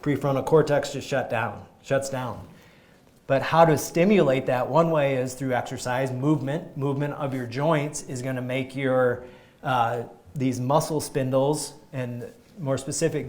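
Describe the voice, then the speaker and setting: A man, a chiropractor, giving an energetic live health talk to a group, emphatic and often restarting his sentences. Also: mid-range voice.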